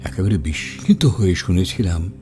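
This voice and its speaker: A man playing an earnest storyteller in an audio drama, narrating in the first person with literary phrasing and dramatic pauses. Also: low voice, lively intonation, warm tone, faint music behind. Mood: intrigued, earnest. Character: earnest storytelling man recalling a fateful gathering.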